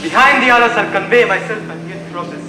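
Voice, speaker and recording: Uneasy, scheming royal played by a man, formal delivery, high voice, very echoey stage. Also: fast, music in the background, natural voice. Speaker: amateur stage actor. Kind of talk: Shakespearean stage acting.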